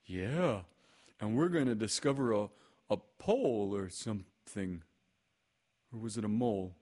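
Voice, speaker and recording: A man voicing a naive, cheerful toy bear with a husky voice and an eager, muddled manner in a children's storybook. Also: lowish voice, lively intonation, unhurried, warm tone.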